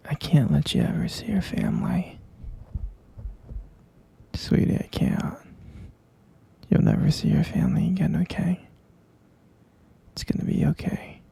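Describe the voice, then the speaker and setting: A very husky, dark whisper from a possessive boyfriend acted out by a man in an ASMR roleplay. He coos and coaxes with slow, halting menace, his breathy voice heavy with gravelly creak. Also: on the high side, full character voice. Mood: devoted, menacing. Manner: cooing, coaxing, slow and halting. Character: obsessive possessive boyfriend captor, sweetly menacing.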